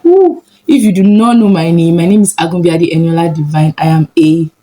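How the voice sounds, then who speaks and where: A woman's voice: lowish voice, a little fast, mellow. A young Nigerian woman with a Nigerian accent, chatting casually and upbeat in a sit-down vlog. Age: young adult. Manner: chatty, upbeat, laughs often. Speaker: vlogger, medical student.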